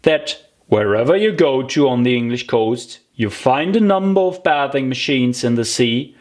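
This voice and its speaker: An audiobook narrator reading a children's story in an expressive, deliberate storytelling manner. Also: medium pitch.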